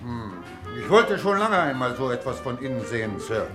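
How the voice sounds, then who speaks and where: An actor playing an eager, deferential police sergeant in a German radio crime drama. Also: male voice, medium pitch, sing-song, moderate pace, over music, natural voice. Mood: curious, obliging.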